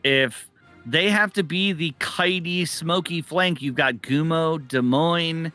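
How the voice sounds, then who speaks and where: A man gives live commentary on a video game match in a sharp, bright voice pitched a little high. His delivery is excitable and exclamatory, with faint music behind him. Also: slow pace. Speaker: esports caster.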